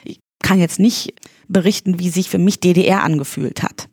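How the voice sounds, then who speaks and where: A woman in her forties from Berlin speaks as a guest on an interview podcast, her voice husky and gravelly with a dark, mellow tone. She talks in a measured way, pausing and searching for words. The sound is dry and close. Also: lowish voice, dry recording. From East Berlin, Germany. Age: 40s. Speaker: candidate for pharmacists' chamber, guest.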